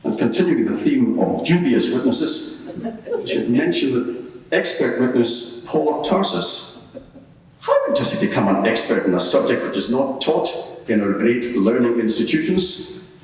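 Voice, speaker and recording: Scornful state lawyer played by a man, hugely echoey. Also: fairly high pitch. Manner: formal, rhetorical, dismissive. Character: scornful Roman state procurator arguing at an inquiry. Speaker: advocate in a mock court inquiry. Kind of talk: courtroom cross-examination and closing submission. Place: large echoing courtroom, live event. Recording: very echoey hall, far from the mic.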